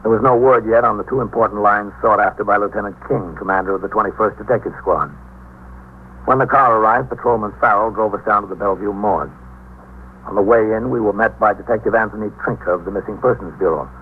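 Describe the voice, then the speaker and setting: An American man acting as a steady police captain in a 1950s radio drama, narrating his case in a measured, matter-of-fact way. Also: fairly low pitch, close to an everyday voice. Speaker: narrator and lead actor. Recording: muffled, noisy 1950s radio broadcast.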